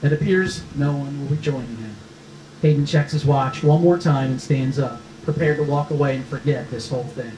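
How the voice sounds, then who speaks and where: A man's voice: mid-range voice, moderate pace, dark tone. A man reading the scripted action and stage directions aloud as narrator at a screenplay table read. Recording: echoey room with faint noise.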